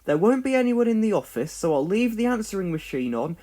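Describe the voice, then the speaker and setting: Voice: mid-range voice, sing-song, brisk, dark tone, voice pushed up, lightly acted. A storybook narrator voicing an upbeat, encouraging male builder who leads a team. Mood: cheerful, encouraging. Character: cheerful, can-do adult male builder boss.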